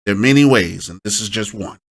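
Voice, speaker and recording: A man's voice: lowish voice, fairly quick, vocal fry, a crowd in the background. A man hosting an informal step-by-step tutorial, instructing and often checking in with short tag questions. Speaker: host of a music production tutorial.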